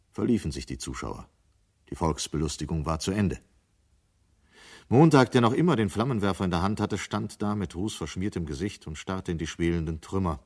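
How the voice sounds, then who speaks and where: A man with a low voice reads scripted literary narration. He serves as the narrator of a 1970s radio drama. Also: darkish tone.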